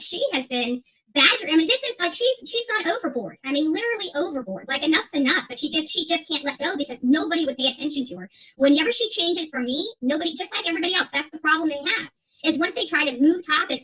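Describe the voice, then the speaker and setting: Middle aged lady streamer ranting off the cuff, high sharp voice, combative, repeats herself a lot. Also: low-quality recording. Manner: emphatic, repeats phrases, combative. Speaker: YouTube channel host. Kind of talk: unscripted livestream rant, reading and answering viewer chat.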